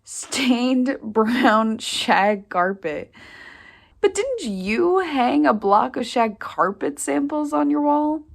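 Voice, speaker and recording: A slightly high female voice with a creaky edge and a slow pace, an actress playing a practical sister in an audio play. She is matter-of-fact and sparing with words, in naturalistic dialogue. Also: lively intonation, full character voice. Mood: dry, amused. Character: practical, matter-of-fact sister helping search a derelict family home.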